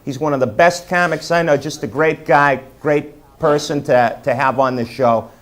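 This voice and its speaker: A man's voice: a little high, steady tone, moderate pace. A man hosting a comedy show on a club stage, introducing the next comic in an upbeat, friendly way.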